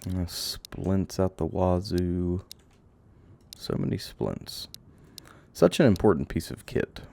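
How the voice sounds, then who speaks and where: A man giving casual gameplay commentary, thinking aloud in a halting, rambling way and swearing now and then. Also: sits low, expressive, creaky, dark tone. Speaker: game streamer. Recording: audible background noise, clicks.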